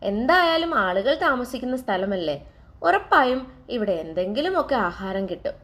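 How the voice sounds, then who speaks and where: A woman voicing a hungry, cunning male lion in a children's storybook tale, speaking in a gruff, scheming way. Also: a little high, big pitch swings, moderate pace, a bit bright.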